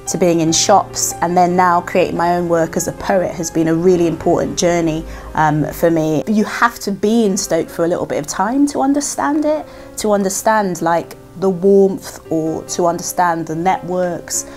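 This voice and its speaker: A woman's voice: fairly low pitch, normal speed, darkish tone, soft background music. A woman from Trinidad, raised in London and now a poet, telling her story in a thoughtful, playful way. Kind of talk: personal testimony.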